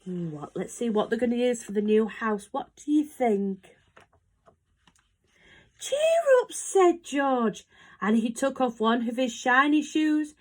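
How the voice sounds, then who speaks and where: A woman reading a picture book aloud to young children in an animated, expressive way. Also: fairly high pitch, measured pace. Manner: animated, asks listeners questions. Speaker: storybook reader.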